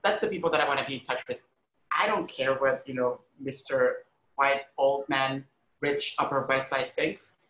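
A man's voice: mid-range voice, fairly even intonation, moderate pace, a bit bright, roomy sound, rough recording. A man from Honduras talking earnestly and emphatically in a conversational interview, telling personal stories and often saying "like" and "you know". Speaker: arts critic and educator, podcast guest.

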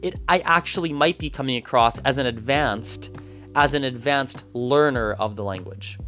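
Man, mid voice, loose self-correcting chat, soft music behind. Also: mid-range voice, normal speed. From Canada. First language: Gujarati. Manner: rambling, many restarts and asides. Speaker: polyglot vlogger. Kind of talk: casual reflective monologue.

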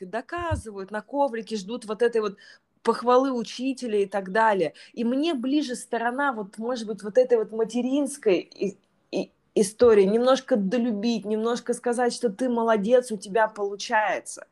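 A woman's voice: a little high, normal speed, darkish tone. A woman teaching yoga and trained as a clinical psychologist, speaking thoughtfully in an interview, with many fillers and restarts. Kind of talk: reflective interview conversation.

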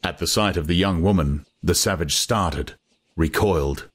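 A man's voice: deep voice, moderate pace, husky. A man reading literary fiction as a scripted, dramatic audiobook narration, with noise behind him.

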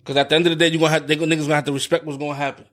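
A man's voice: on the high side, level tone, very fast, fairly bright tone, soft background music. A young man from New Jersey, a rapper guest boasting emphatically and swearing heavily. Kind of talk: casual chat.

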